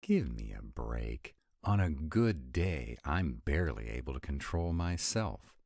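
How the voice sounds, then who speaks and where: Man acting a very deep, sardonic vampire lover. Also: very animated, measured pace, darkish tone, no echo, studio quality, heavily acted. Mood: exasperated ranting. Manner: sardonic, exasperated, intimate, swooping emphasis. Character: sarcastic, grumbling, affectionate male vampire councilman and lover. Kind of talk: romantic roleplay audio acting.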